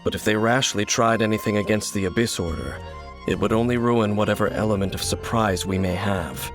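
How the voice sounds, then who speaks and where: A man's voice: on the low side, lightly acted. A man playing a cool, aloof wanderer. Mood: guarded, calm. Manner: measured, wry, reserved. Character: calm aloof male wanderer, guarded and cynical. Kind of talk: video game voice acting. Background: game music.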